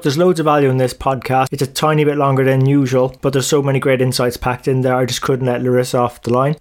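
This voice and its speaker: A man hosting a podcast, introducing the episode and asking questions in an eager, conversational way. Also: medium pitch. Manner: enthusiastic, conversational. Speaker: podcast host.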